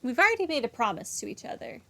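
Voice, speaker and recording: A woman's voice: high voice, sing-song, fast talker, gravelly, bright, forceful tone. A woman giving casual, slangy, joking commentary as she plays a video game. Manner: jokey, slangy. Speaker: gaming streamer.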